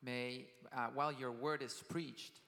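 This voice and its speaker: Brief: male live interpreter, bright forward voice, long hall reverb. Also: medium pitch, steady tone, measured pace. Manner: follows phrase by phrase, with brief hesitations. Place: conference hall stage.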